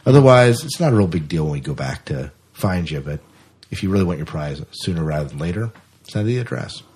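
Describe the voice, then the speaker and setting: A man's voice: low-pitched, expressive, fairly quick, darkish tone, husky. A man co-hosting a podcast in casual, rambling chat, often repeating his phrases. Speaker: podcast co-host.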